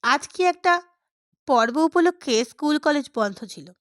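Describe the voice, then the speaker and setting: A woman's voice: sits high, expressive, unhurried, no room sound, clean studio sound. A woman reading a literary story aloud as scripted narration. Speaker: audiobook narrator.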